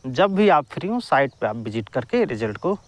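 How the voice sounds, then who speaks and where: A man's voice: mid-range voice, sing-song, normal speed. A man giving an informal explainer to viewers, repeating his phrases for emphasis. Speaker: exam-news YouTuber.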